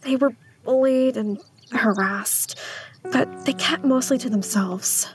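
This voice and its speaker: A woman playing a reserved, uneasy small-town schoolteacher in an audio drama, speaking in a hesitant, hushed way. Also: on the high side, slow. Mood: uneasy, guarded. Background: music.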